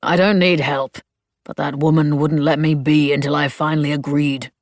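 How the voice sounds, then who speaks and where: A woman's voice: deep voice, steady tone, moderate pace, a little mellow, no room sound, clean studio sound, lightly acted. A woman voicing a grumpy old male shop owner who speaks in a bored drawl. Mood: grumbling.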